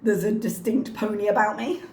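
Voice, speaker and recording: A woman with a hoarse, slightly strained voice, chatting casually and wryly as a reaction channel host. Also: medium pitch, steady tone, moderate pace, warm tone, reverberant. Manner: chatty, wry, self-mocking. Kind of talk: casual chat to camera.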